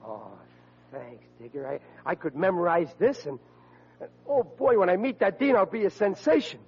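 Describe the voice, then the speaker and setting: An actor playing a blustery, dim, excitable working-class family man in an old-time radio comedy. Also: high voice, moderate pace, husky, exaggerated voice. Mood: excited. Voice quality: blustery, plain-spoken working-class diction. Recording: muffled, noisy vintage radio recording.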